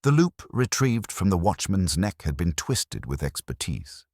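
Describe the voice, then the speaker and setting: A man narrates a mystery story in an extremely deep, husky voice recorded dry and close. His delivery is measured and wry, like a literary audiobook, with plenty of melodic movement. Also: big pitch swings, a little mellow, dry recording.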